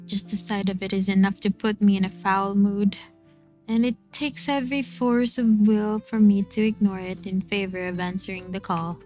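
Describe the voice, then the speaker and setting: A woman reading a visual novel aloud in an expressive, unhurried first-person narration. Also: medium pitch, lo-fi sound. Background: game music.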